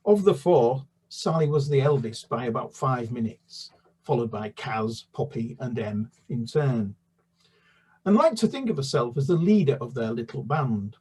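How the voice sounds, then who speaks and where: A British man with a husky voice in an ordinary middle register talks about his children's books, stopping and restarting often. He then reads a chapter aloud in steady storybook narration over a video call. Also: medium pitch, normal speed. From United Kingdom. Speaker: children's author. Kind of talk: book talk and read-aloud.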